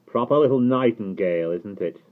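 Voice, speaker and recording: Through dull, narrow audio comes a man acting out a pudgy Welsh farmer from a storybook. He is pompous, suspicious and quick to anger. His scornful words bark out well above a low narrator's register. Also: expressive, lightly acted. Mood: cold sarcasm. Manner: scornful, barking, inverted sentence order.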